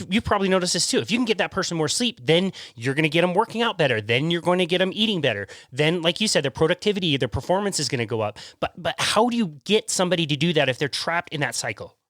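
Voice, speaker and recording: An enthusiastic man hosts a podcast and asks his guest questions with an easy laugh. His voice is fairly high, and the recording is dry and close. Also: fairly bright tone, no room sound. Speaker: podcast host. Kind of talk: casual podcast interview.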